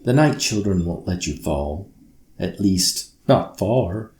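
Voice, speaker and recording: Storybook dream-bringer, male, acted by a man: low, teasing, grand, in an echoey room. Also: expressive, takes it slowly, a little mellow, a little hiss or hum, full character voice. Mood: playful, reassuring. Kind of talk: storybook character voice. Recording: roomy echo.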